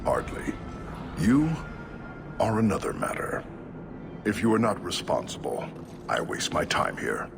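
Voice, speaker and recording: Proud stern qunari warrior for a game, super deep voice, swings between growl and bark, formal and menacing. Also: male voice, very animated, vocal fry, over music, exaggerated voice. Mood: disdainful. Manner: terse, formal, measured menace. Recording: game dialogue over noisy ambience.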